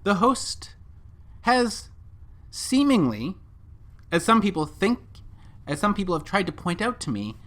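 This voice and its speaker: A commentator delivering scripted video commentary and reading from documents, with rhetorical, emphatic and deliberate phrasing. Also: medium pitch, big pitch swings, fairly bright tone. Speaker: commentator and narrator.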